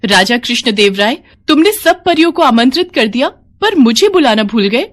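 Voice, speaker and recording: An imperious dark fairy from a children's storybook, acted by a woman. She is vengeful and indignant, declaiming like someone who expects to be obeyed. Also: on the high side, very animated, moderate pace, voice pushed up. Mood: furious.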